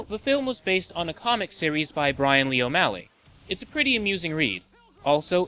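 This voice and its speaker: Male list-show narrator: tongue-in-cheek, forceful bright tone, very animated delivery. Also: a little high, rough recording. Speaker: host of a video game and movie show.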